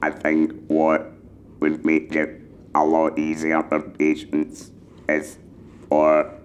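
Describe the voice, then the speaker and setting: Very deep, monotone electrolarynx man's voice. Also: muffled recording, slight background noise. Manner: measured, deliberately enunciated phrasing. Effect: mechanical electrolarynx vibration. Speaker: patient mentor for electrolarynx users. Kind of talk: explanatory talk giving advice.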